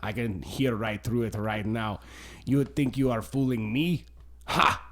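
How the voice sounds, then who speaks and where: A man's voice: mid-range voice, measured pace, fairly bright tone, lightly acted. A blustering, paranoid co-host, played by a man. He speaks choppy, broken English and throws out accusations. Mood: accusing.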